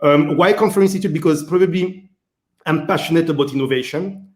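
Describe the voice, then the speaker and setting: A man's voice: fairly high pitch, a little fast. A man from Martinique with a French accent, answering interview questions as a guest executive, hesitant and full of fillers. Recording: roomy echo.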